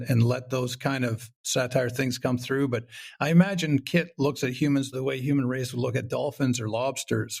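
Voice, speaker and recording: A man's voice: medium pitch, creaky, darkish tone. A man speaking casually as an interview guest, explaining his writing and acting out imagined reactions as he goes. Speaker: guest, comedy writer and show creator.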